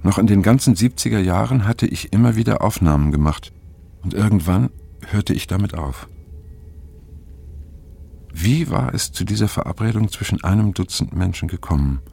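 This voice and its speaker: An actor playing an older, reflective man who narrates his memories in a radio drama, in measured, pensive, fragmentary phrases with a husky, gravelly voice. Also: deep voice, expressive, dark tone, full character voice. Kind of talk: scripted radio drama narration, introspective monologue.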